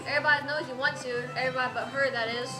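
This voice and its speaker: High fast-talking country dance host acted by a woman, cheerful, nudging, echoey stage, music behind. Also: steady tone, close to an everyday voice. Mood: teasing, nudging. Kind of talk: stage play, announcing and dialogue.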